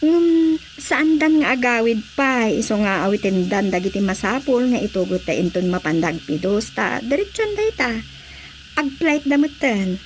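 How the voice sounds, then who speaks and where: An actress playing a brisk, businesslike female recruiter with a smooth sales manner and a Filipino accent in a radio drama. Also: fairly high pitch, lively intonation, slight background noise, close to an everyday voice, poor audio. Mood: confident.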